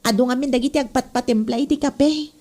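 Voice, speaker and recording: A woman's voice: sits high, quick pace, dark tone, lightly acted. A woman playing a chatty, friendly office worker in a comedy drama, with a Filipino Ilocano accent. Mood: curious, friendly.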